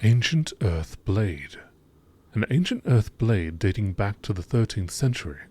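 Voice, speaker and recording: A man with a low-pitched voice narrates in the manner of a nature documentary. He reads from a script, formally and with measured phrasing, like entries in a scientific log. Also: very animated, a little mellow. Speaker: narrator reading game lore entries.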